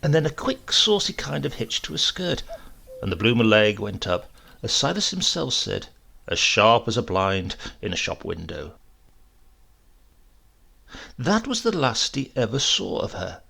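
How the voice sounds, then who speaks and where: Husky, very creaky male storyteller. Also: lowish voice, wildly swooping pitch, unhurried, pushed and bright. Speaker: audiobook narrator. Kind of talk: scripted comic story narration.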